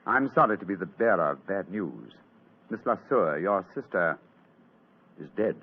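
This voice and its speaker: An actor playing a shrewd, courteous British gentleman detective in a polished, theatrical old radio drama style. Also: deep voice, lively intonation, normal speed, husky, heavily acted. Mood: grave, gentle. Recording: muffled, lo-fi vintage radio sound.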